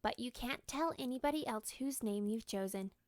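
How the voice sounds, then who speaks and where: A woman voicing an encouraging young mother in a children's storybook, earnest and gently persuasive. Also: on the high side, moderate pace, no room sound, studio quality, natural voice. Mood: reassuring. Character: warm, encouraging young mother explaining a secret game.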